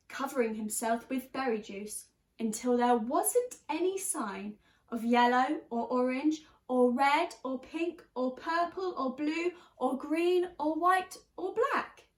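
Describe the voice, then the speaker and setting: A woman reading a children's story aloud like a teacher, expressively and deliberately, stressing key words. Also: high-pitched. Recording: roomy, echoey sound.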